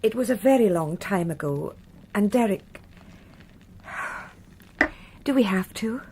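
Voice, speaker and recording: An actress with a British accent playing a wistful upper-class titled wife in a radio drama, breathy, soft and confiding. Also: female voice, mid-range voice, animated, takes it slowly, a little hiss or hum.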